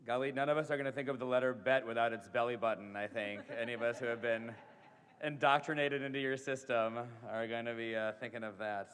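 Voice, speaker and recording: A man leading a synagogue service as rabbi, gentle and halting, often repeating his words. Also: mid-range voice, steady tone, slight background noise. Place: synagogue sanctuary. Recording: reverberant sanctuary with music.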